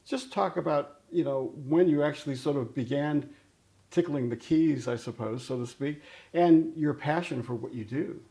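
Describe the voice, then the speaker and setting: A man's voice: on the high side, moderate pace, warm tone. A middle-aged or older man hosting an interview in a conversational style. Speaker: interview host.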